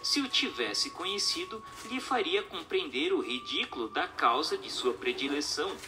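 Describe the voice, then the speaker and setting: A man narrates a formal, instructive text in a measured way. His voice sits a little high and moves through lively, wide intonation. Music plays clearly behind him. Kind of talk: scripted audiobook-style narration of a spiritist text.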